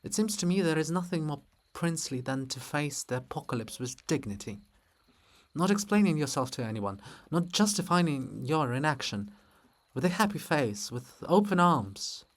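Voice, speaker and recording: A man's voice: on the high side, animated, moderate pace, lightly acted. A man voicing a bold, sly, devoted sister in a theatrical, teasing, insistent stage reading. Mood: exalted. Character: Sister Lybid, bold, sly, devoted female river spirit.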